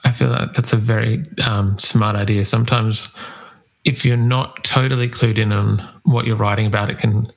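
A man's voice: fairly low pitch, fairly even intonation, normal speed, creaky, rough recording. A man interviewing a musician in a casual chat, rambling and searching for his words.

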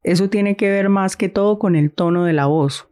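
A woman from Santander, Colombia, with a softened Santander accent, talking thoughtfully as a guest in a casual conversation. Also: mid-range voice, moderate pace. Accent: Santander Colombian Spanish, softened.

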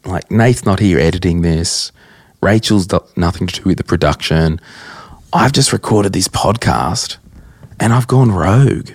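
A young Australian man with a croaky voice hosting a casual solo podcast at his desk, answering listener questions bluntly and chattily. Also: deep voice, animated, a little slow, no echo. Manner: chatty, blunt, rambling with fillers. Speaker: podcast host. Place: at a desk, indoors.

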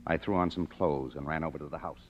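A man's voice: very deep voice, fast, a little hiss or hum. An American man acting as a cynical, broke young screenwriter in a 1950s radio drama, wry and sardonic. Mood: wry, weary. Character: cynical, broke young screenwriter narrating his own story. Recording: muffled old radio broadcast, music behind.

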